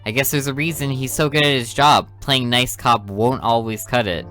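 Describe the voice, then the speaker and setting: A man's voice: medium pitch, fairly even intonation, normal speed, sharp tone, faint music behind, close to an everyday voice. A man reading the thoughts and lines of a bored teenage girl who sneaks out at night. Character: restless, sneaky teenage girl, detective's daughter.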